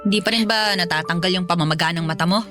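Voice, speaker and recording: A woman playing a cruel, harsh mother in a radio drama, scolding and yelling shrilly. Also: lowish voice, racing, close to an everyday voice. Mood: dismissive. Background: background music.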